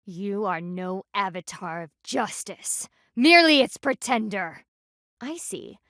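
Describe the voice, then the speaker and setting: A woman's voice: medium pitch, animated, slow pace, fairly bright tone, no echo, clean studio sound. A woman voicing a stern, proud panda warrior in a video game, with a formal, commanding, clipped manner. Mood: cold, scornful. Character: stern, proud anthropomorphic panda woman, loyal military guardian.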